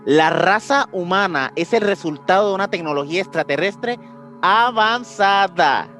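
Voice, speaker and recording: A man's voice: high voice, animated, normal speed, fairly bright tone, faint music behind. A man with a Mexican accent hosting a podcast, telling a story casually in slangy speech and often searching for words. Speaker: podcast host.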